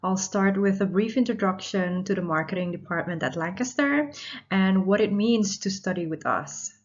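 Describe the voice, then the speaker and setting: A woman in her thirties, originally from Indonesia, giving a recorded university lecture in a measured, explanatory way. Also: medium pitch, animated. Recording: slightly dull lo-fi audio.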